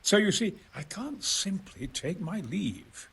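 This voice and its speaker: An actor playing a genial, self-important exiled engineer in a video game, talking in a wry, hesitant, gentlemanly way. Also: male voice, high voice, big pitch swings, takes it slowly, gravelly, breathy edge, exaggerated voice. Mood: wry, explanatory. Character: genial, erudite exiled male engineer, self-important about his opus. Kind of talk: video game cutscene acting.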